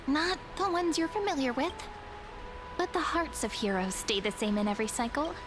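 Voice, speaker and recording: An actress playing a candid, thoughtful young heroine in a video game, polite but frank. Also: female voice, high voice, expressive, moderate pace, music in the background. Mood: relieved, reflective.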